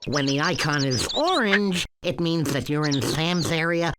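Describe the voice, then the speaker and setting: A man voicing an excitable, sarcastic cartoon duck host giving brash, showy tutorials in a video game. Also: a little high, exaggerated voice. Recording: clearly noisy game audio capture.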